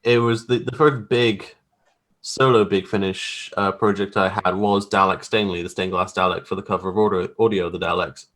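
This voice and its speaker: A man's voice: sits low, fairly even intonation, moderate pace, a bit bright. A man speaking as a guest graphic artist in a casual interview, explaining his work in an informal, hesitant, rambling way. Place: remote video call.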